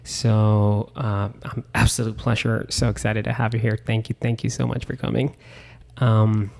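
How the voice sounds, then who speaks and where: A man's voice: fairly low pitch, little pitch movement, moderate pace, gravelly, a little mellow. A man hosting a podcast, asking friendly, rambling questions. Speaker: podcast host. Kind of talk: casual interview talk.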